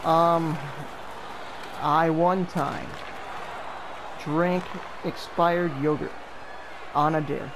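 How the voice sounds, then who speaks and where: A young man calls a hockey video game alongside a friend, his voice sitting a bit high. He jokes between plays and breaks into sudden excited shouts. A clear hiss of noise runs under the recording. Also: very slow, long pauses, a crowd in the background. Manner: joking, bursts into excited calls. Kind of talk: casual play-by-play with a friend.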